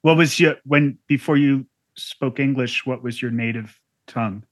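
A man conducting an interview, steering the talk with follow-up questions and short murmurs of agreement. His voice is recorded close and dry, with no room sound. His pitch is ordinary for a man. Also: moderate pace, no echo. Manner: brief affirmations, guiding questions.

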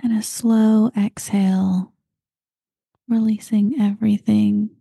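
A woman guiding a meditation, talking slowly and calmly and at times dropping into breathy whispers. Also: mid-range voice, dry recording, studio quality. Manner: slow, soft and calm, drifting into breathy whispers. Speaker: meditation guide. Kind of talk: guided meditation.

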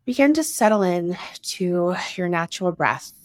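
A woman leading a guided meditation, giving soothing instructions in short phrases with long pauses between them. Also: lowish voice, animated, a little mellow, no room sound. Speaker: meditation guide, breathwork teacher.

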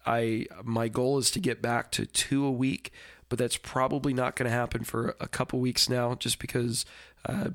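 A man's voice: mid-range voice, level tone, normal speed, fairly bright tone, no echo, clean studio sound. A man with a stuffy, congested voice, hosting his own podcast in a rambling, apologetic way with plenty of fillers. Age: adult with a daughter. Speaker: podcast host. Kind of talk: casual solo podcast talk.

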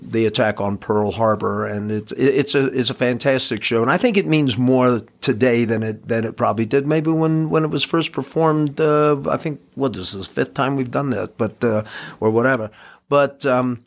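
An American man chatting as an interview guest in an informal, halting way, often repeating words. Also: medium pitch, creaky. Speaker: guest, community theater actor. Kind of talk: casual interview chat.

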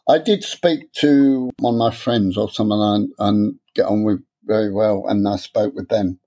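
A man, talking candidly in an interview, halting and repeating words as he speaks. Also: fairly low pitch, fairly even intonation, mellow. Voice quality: stammer. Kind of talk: personal interview.

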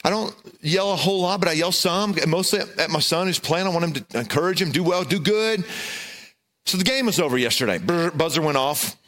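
A middle-aged man preaches with a loud voice, moving from serious points into a humorous story at his own expense. His speaking voice sits a little higher than most men's and swings up and down as he tells it. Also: animated, brisk. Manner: self-deprecating, humorous storytelling with big pitch swings. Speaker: pastor. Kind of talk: sermon with personal story.

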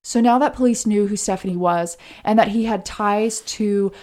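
A woman's voice: medium pitch, normal speed, darkish tone. A woman co-hosting a true crime podcast, telling a case in an earnest, explanatory, conversational way.

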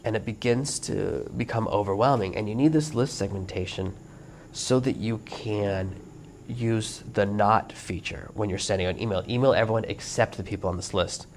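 A man hosting a solo podcast whose voice is hoarse and worn out. He explains things in a steady, earnest way. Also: fairly low pitch. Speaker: podcast host. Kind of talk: solo instructional monologue.

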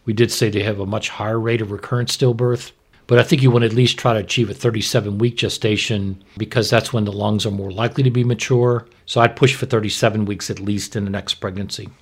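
A man's voice: lowish voice, steady tone, fast talker, husky. A man speaking as a doctor, explaining medical practice in an informal, plain-spoken, instructive way. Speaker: obstetrician, expert guest.

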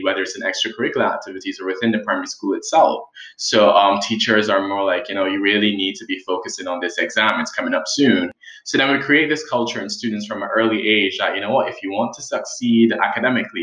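A man's voice: fairly low pitch, bright, forceful tone. A young man from the Caribbean, answering interview questions as a health advocate, thoughtful, with many ums and restarts. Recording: roomy echo.

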